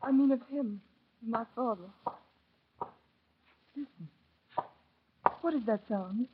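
A woman's voice: on the high side, takes it slowly. An actress playing an anxious, earnest young lady in an old radio drama, polite and pleading. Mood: fearful. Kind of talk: 1930s radio acting. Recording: tinny, very noisy old radio recording.

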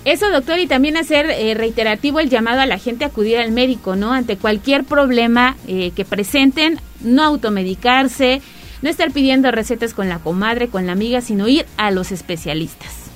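A woman's voice: on the high side, normal speed, faint music behind. A woman co-hosting a morning radio show, upbeat and direct. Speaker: radio co-host.

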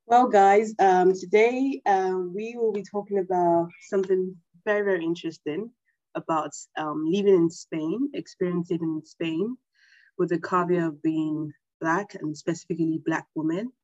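A woman's voice: fairly low pitch, fairly even intonation, normal speed, darkish tone, dry recording, muffled recording. A woman hosting a casual podcast, friendly and giggly as she asks her guest questions. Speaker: podcast host.

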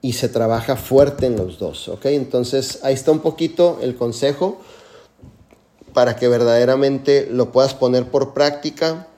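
Man, mellow voice, mid pitch, earnest mentor. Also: unhurried. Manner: earnest, repeats filler "este". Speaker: mentor answering questions. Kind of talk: motivational mentoring call.

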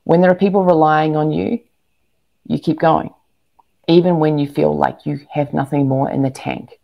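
A woman's voice: sits low, level tone, moderate pace, vocal fry, muffled recording. A woman talking energetically as an interview guest, emphatic and often repeating words for emphasis. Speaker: guest, entrepreneur and speaker. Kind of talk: animated interview talk to a live online audience.

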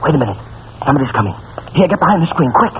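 An actor playing an earnest, flustered young army officer in an old radio comedy, deferential and pleading. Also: male voice, a little high, very animated, rapid-fire. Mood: exasperated, urgent. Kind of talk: 1940s radio comedy acting. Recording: noisy, tinny old-time radio recording.

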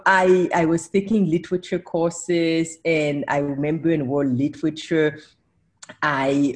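A woman's voice: deep voice, a little mellow. A woman in her forties, born in Haiti, speaking as a professor guest on a casual podcast, who laughs often and corrects herself as she goes.

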